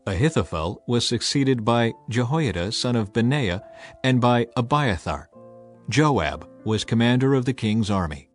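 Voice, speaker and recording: Male scripture narrator, mid-range voice, measured and solemn scripted read, light music bed. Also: medium pitch, animated. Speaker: Bible narrator. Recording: music behind the voice. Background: background music.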